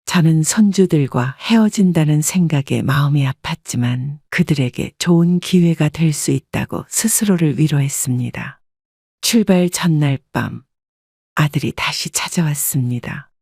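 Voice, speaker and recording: A woman narrating a scripted first-person life story in a calm, even manner. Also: low voice, moderate pace, no room sound, clean studio sound.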